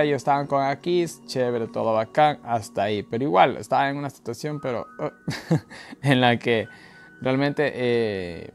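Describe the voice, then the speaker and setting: An Ecuadorian man with a mid-pitched voice leads an informal Bible study. His delivery is conversational and colloquial, halting often on filler words. Faint music plays behind his voice. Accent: Ecuadorian Spanish. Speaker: online Bible study leader. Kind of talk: informal Bible study talk, verse-by-verse commentary. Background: background music.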